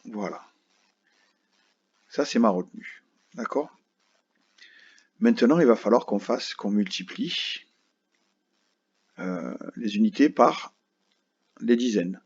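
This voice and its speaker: A man's voice: fairly low pitch, lively intonation, slow pace, pauses often, a little mellow, slightly rough. A male teacher patiently explaining a math lesson to children step by step, often checking that they follow. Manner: patient, explains step by step, keeps asking "d'accord?". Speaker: primary school teacher. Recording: dull lo-fi recording.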